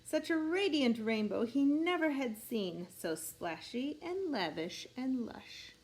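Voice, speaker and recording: A woman reading a rhyming picture book aloud in an expressive, measured storytelling way meant for children. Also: high-pitched, poor audio. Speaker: storybook reader.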